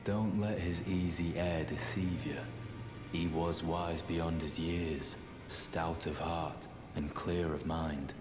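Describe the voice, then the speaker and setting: A grave knight from a fantasy game, with an extremely deep voice and slow, formal delivery. He speaks as a stern zealot who hunts the undead, in a reverberant space with music clearly behind him. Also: steady tone. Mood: solemn. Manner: solemn, formal, archaic phrasing. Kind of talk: scripted fantasy game dialogue.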